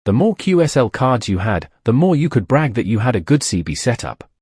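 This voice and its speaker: A man's voice: mid-range voice, very animated, a little fast, a little mellow, slightly rough, no echo, studio quality. A man narrating a documentary from a script.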